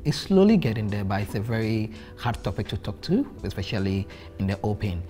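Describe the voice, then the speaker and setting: A man's voice: on the low side, very animated, a little fast, dark tone. A young man from Ghana, talking earnestly in a seated group conversation. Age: young adult. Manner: earnest, speaks with pride. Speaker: panel guest. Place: bright studio with plants, indoors.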